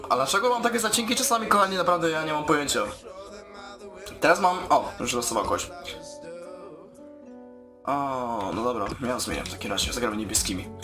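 A man's voice: fairly high pitch, animated, brisk, long pauses. A man giving casual commentary as he plays a video game, exclaiming and muttering along. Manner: exclaims and mutters while playing. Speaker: gaming video host. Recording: music behind the voice.

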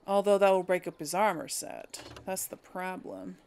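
A woman's voice: on the low side, sing-song, normal speed, a little mellow, a little hiss or hum. A woman hosting a let's play, thinking aloud in casual, hesitant gameplay commentary. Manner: musing aloud, hesitant deliberation.

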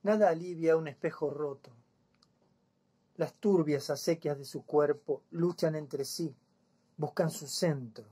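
A man in his fifties with a Buenos Aires accent reads poetry solemnly and deliberately, leaving long pauses. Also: a little high, dark tone, slightly rough. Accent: Buenos Aires Spanish. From Buenos Aires province, Argentina. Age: 50s. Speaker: poet.

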